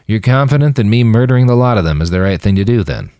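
Man as a deep-voiced, detached veteran. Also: animated, fast, dark tone, no room sound, studio quality. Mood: searching. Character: Roland, detached, introspective male war veteran with lost memory.

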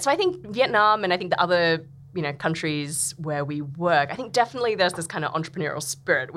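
A woman's voice: on the low side, fairly bright tone. A woman in her thirties with an Australian accent, answering interview questions in a chatty way with many fillers and frequent laughs. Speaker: venture capital investor.

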